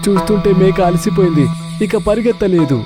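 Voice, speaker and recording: A man voicing a wary, hungry wolf hunter in an animated story, wondering aloud to his partner. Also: high-pitched, brisk, over music, close to an everyday voice. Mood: puzzled.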